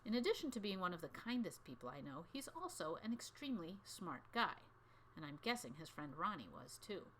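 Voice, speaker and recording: A woman reads a children's book aloud as co-host of a readalong. Her voice is bright and very animated, expressive in storytelling and chat, with faint noise behind it. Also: lowish voice, brisk, poor audio. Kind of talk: expressive storybook narration and light banter.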